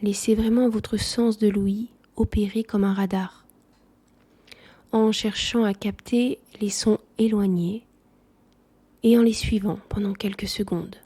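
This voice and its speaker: Calm lady doing guided meditation, mellow breathy voice, soft and soothing. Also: medium pitch, measured pace. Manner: calm, soothing, softly spoken. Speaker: yoga nidra instructor.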